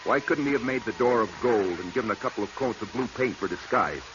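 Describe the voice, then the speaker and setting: A man's voice: fairly low pitch, expressive, fast, lightly acted. A male actor playing an earnest, eager salvage partner with a folksy American manner and accent, in 1940s radio drama style. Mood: excited, persuasive. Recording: muffled, noisy vintage radio recording.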